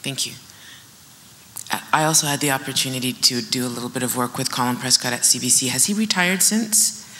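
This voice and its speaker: A woman moderating a panel informally, reflecting aloud and pausing between phrases. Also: extremely low pitch, pushed and bright, slight background noise. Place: indoor event stage.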